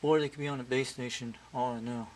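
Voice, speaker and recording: A man's voice: mid-range voice, fast talker. A man chatting casually and repetitively as he tests his radio gear, like a hobbyist showing his setup. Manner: rambling, repetitive. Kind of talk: casual chat while testing radio gear. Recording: very noisy amateur recording, loud hum. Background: loud steady noise.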